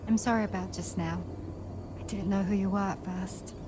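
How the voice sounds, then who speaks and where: A woman's voice: mid-range voice, fairly even intonation, moderate pace, background noise, lightly acted. A woman playing a friendly, polite ally in cinematic video game dialogue. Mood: warm, polite. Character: friendly, apologetic female ally, warm and polite. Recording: music behind the dialogue.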